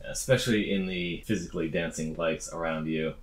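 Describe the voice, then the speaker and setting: A man's voice: sits low, fairly quick. A man narrating a tabletop roleplaying game as its game master.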